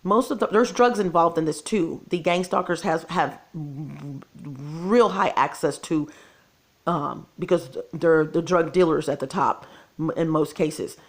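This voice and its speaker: A woman's voice: deep voice, measured pace. A woman, losing her voice, talking to her viewers in an earnest, repetitive casual vlog monologue. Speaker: channel host.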